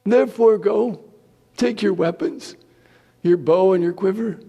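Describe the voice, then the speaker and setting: Man reading as old blind patriarch, raised high voice, declamatory, insistent, long hall reverb. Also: measured pace, creaky, mellow, slightly rough, full character voice. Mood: urgent. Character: old blind father Isaac, frail but insistent.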